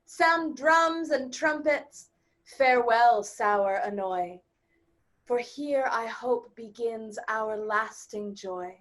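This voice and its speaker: A woman's voice: on the high side, takes it slowly, fairly bright tone. A woman playing a victorious monarch celebrating at court, declaiming stately classical stage verse. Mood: jubilant. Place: remote video-call staged reading.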